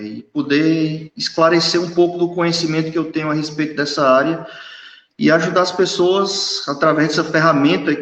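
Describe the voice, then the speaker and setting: A man's voice: a little high, a bit bright. A man in his thirties from northeast Brazil, a guest expert in a live online interview who hesitates and repeats words. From Ceará, northeast Brazil. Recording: echoey room, dull lo-fi sound.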